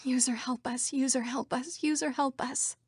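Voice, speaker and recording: A woman's voice: high voice, steady tone, moderate pace, a little mellow, breathy edge, no echo, studio quality. An actress voicing female computer program citizens in a scripted video game. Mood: fearful, despairing. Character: female computer program citizens, by turns frightened, defiant or official.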